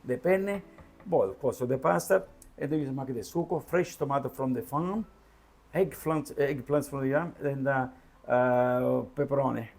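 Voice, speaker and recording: Gravelly older Italian-accented man. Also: mid-range voice, a little mellow, soft background music. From Calabria, Italy. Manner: halting, repeats words, mixes in Italian. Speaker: home cook. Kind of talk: casual chat while cooking.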